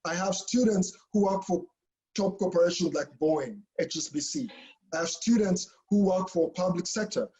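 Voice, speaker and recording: A man's voice: high-pitched, animated, normal speed, a little mellow. A man from Kenya, a professor talking casually in an online info session, with restarts and a habit of asking "okay?". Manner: chatty, frequent "okay?" and restarts.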